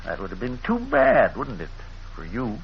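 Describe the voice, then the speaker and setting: A man's voice: medium pitch, huge pitch swings, normal speed. An actor playing a shrewd rural lawman, folksy and plainspoken with a drawl, in a muffled old radio drama. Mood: calmly accusing. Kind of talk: 1940s radio drama acting. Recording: muffled, faintly noisy old radio recording.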